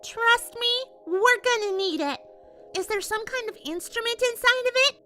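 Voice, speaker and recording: A woman's voice: extremely high pitch, moderate pace, pushed and bright, faint music behind, pitched above the performer's own voice. A woman voicing a perky, earnest young female cartoon pony in a fan fiction reading, speaking emphatically. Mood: serious, urgent.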